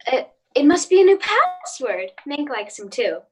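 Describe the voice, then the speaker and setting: A polite, indulgent housewife and mother acted by a woman in a radio play. Her voice is high and swoops expressively. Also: huge pitch swings, a little slow, exaggerated voice. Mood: warm, polite.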